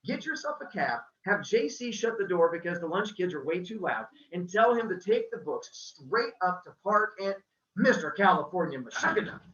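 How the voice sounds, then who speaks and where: Reader doing a blustery old shopkeeper man, thick Italian-style accent, high and lively, echoey room. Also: normal speed. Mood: shouting. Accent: put-on Italian-style. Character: gruff old male bookseller, impatient. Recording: echoey room, dull sound.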